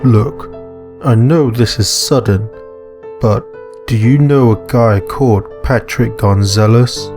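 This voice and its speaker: A man playing a big, earnest, suspicious man in a visual novel, speaking in a deliberate, questioning way. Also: mid-range voice, a little mellow, soft background music. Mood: pressing.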